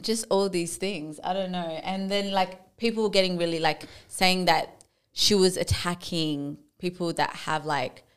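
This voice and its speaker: A young woman with a deep, smooth, clear voice co-hosts a casual podcast. She talks in a relaxed, hesitant way, pausing often on fillers. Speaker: podcast co-host. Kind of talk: casual chat.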